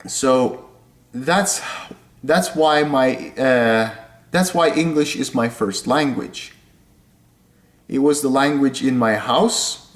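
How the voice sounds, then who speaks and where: A young man from Indonesia talks at a very slow, careful pace, often circling back to repeat or fix a phrase. His voice sits at an ordinary middle pitch, and the room around him gives a noticeable echo. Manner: slow, deliberate, repeats and corrects himself. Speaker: vlogger. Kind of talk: casual explanatory vlog. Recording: echoey room.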